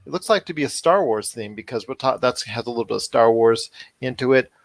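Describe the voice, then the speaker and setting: A man's voice: medium pitch, lively intonation, fast, no echo. A man hosting a podcast in an upbeat, chatty style, wordy and given to repeating himself. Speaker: podcast host.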